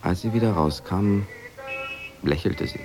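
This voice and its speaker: An actor playing a worried husband in a radio drama, recounting events in a measured, confiding way. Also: male voice, deep voice. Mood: reflective, concerned. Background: music.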